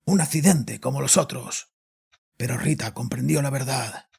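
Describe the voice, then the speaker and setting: A man playing a haunted, guilt-ridden father confessing in a bitter, cold way, with a raspy voice, in a horror audio drama. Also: mid-range voice, normal speed, a little mellow. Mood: bitter confession. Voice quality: raspy, husky. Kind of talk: dramatic audio fiction monologue.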